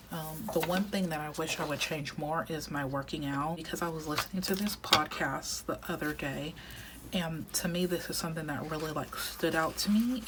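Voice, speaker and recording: A woman in her thirties chatting casually while decorating a planner, reflective and talkative, often dropping into soft half-whispers, her voice going a little raspy from a long day of recording. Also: sits low, background noise. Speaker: planner vlogger. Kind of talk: casual plan-with-me chat.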